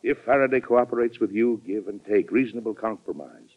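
An actor playing a measured, conciliatory president in an old radio drama, on a tinny vintage recording. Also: male voice, on the low side, lightly acted. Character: calm, conciliatory male president. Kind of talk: 1940s radio drama acting.

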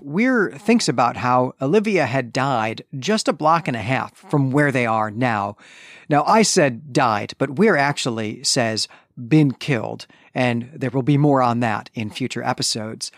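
A male podcast host talks through a literary recap in a conversational way. His delivery is thoughtful and halting, with phrases reworked as he goes. The voice sits at a middling pitch in a very dry, close space. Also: dry recording.

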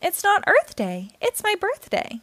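A woman's voice: very high-pitched, huge pitch swings, unhurried, a bit bright, no room sound, voice pushed up, full character voice. A woman voicing a silly, proud young male monkey who insists in a childlike way, for a storybook. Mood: proud, insistent.

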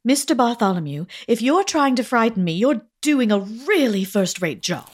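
Wry, husky, animated young widow. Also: female voice, on the high side, normal speed. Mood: alarmed. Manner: wry, hesitant. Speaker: actor in audio drama. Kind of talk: radio play acting.

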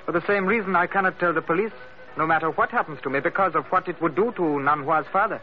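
A man's voice: a little high, natural voice. An actor playing a courteous, dignified young Chinese man in hiding, speaking formal, careful English in an old-time radio drama. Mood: earnest, grave. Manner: formal, measured, careful diction. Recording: dull old radio recording, faint music.

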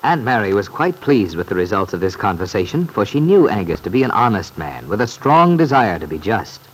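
A man's voice: on the low side, very animated, brisk, breathy edge, rough recording. A man narrating an old-time radio serial in scripted storytelling style.

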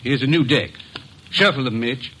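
An actor playing a cool, persuasive business partner, speaking calmly and matter-of-factly in a 1940s radio drama. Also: male voice, mid-range voice, moderate pace, noisy background, lightly acted. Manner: calm, persuasive, matter-of-fact.

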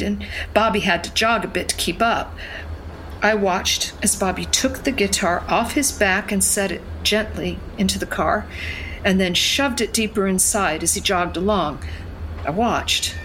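An actress playing a regretful young mother who recounts her past in a measured, reflective storytelling style for an audio drama. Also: mid-range voice, a bit bright, a little hiss or hum, low-quality recording. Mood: pained, hushed. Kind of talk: narrated audio fiction, confessional storytelling.

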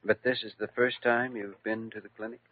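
An actor as a deep-voiced calm young doctor. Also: male voice, a little fast, close to an everyday voice. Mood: reassuring. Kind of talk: 1940s radio acting. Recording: tinny old radio recording.

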